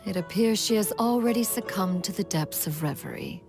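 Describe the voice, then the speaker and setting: A woman voicing an aloof, stately ancient immortal in a video game, with formal, lofty, measured speech. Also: medium pitch, lively intonation, music in the background, natural voice. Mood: composed. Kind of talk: dramatic video game dialogue.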